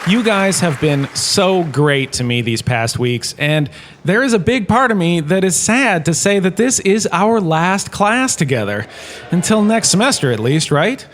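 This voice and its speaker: A somewhat high-voiced male history lecturer, played by an actor, vain and bumbling. He holds forth like the faded star he is, self-important and theatrical, rambling through his class in a comedic audio drama. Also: sing-song, measured pace, fairly bright tone, a faint crowd, a little hiss or hum, heavily acted. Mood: chatty, self-satisfied.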